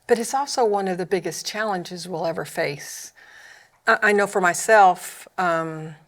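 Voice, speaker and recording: A woman's voice: on the low side, measured pace, a bit bright. A woman with an Oklahoma accent, a ministry founder, sharing her personal story as a podcast guest in an earnest, candid way. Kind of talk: personal testimony in a panel chat.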